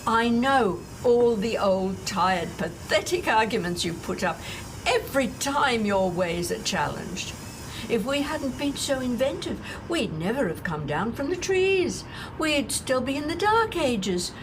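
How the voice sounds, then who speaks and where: Actress as a despairing, scornful woman, slow and deliberate. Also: a little high, animated, background noise, voice pushed up. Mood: mocking, exasperated. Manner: deliberate, halting, rhetorical, addressing an unseen listener. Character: despairing, scornful woman lamenting humanity's coming end. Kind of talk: dramatic monologue.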